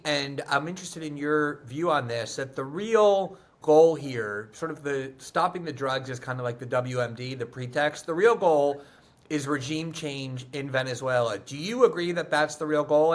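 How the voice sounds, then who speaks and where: A man from the United States hosting a political interview, asking long, articulate, probing questions. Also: fairly high pitch. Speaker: host.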